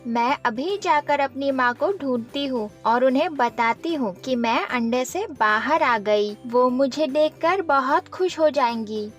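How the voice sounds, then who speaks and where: A woman voicing an eager, innocent newly hatched chick in a children's animated story, childlike and earnest. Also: high voice, a little slow, few pauses, faint music behind, pitched above the performer's own voice. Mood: excited.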